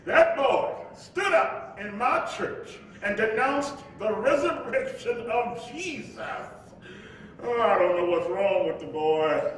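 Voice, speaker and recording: Stern father played by a male actor, emphatic and high, stage drama in a reverberant, faintly noisy room. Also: lively intonation, takes it slowly. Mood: angry, exasperated. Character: stern, hot-tempered father and preacher, troubled by his son. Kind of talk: staged play reading before a live audience. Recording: distant echoey hall recording, faint rumbling noise.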